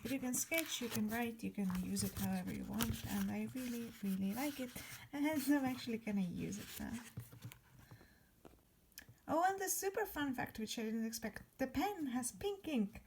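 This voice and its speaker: A woman's voice: on the high side, normal speed, vocal fry, a little mellow, a little hiss or hum. Chatty young woman. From Estonia. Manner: chatty, enthusiastic, repeats "really". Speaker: vlogger. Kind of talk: casual vlog voice-over.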